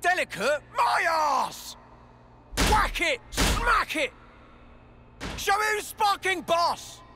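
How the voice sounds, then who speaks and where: An actor playing a brash, bossy male commander who shouts gruff, crude orders at his crew in a video game. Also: extremely high pitch, very animated, drawn-out words, noisy background, exaggerated voice. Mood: barking orders. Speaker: colony commander.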